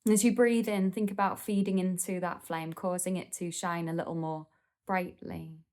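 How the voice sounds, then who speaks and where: A woman leading a guided meditation in a gentle, soothing, unhurried manner with pauses between phrases. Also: lowish voice, little pitch movement.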